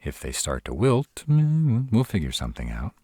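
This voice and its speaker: Casting: male actor as gruff tsundere were-dragon boyfriend, animated swings in pitch, close dry sound, tender and guarded, romantic audio drama. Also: dry recording, exaggerated voice. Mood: defensive, reassuring. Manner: tsundere, teasing yet caring, hesitant pauses. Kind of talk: romantic fantasy audio roleplay, speaking to the listener.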